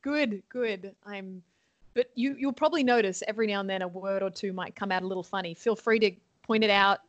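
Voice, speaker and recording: Young aussie nun chatting away on a podcast, cheerful, laughs a lot, dry close mic. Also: female voice, medium pitch, fairly quick, dry recording, highs cut off. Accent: Australian. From Sydney, Australia. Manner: cheerful, chatty, laughs often, many ums. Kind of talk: casual interview chat.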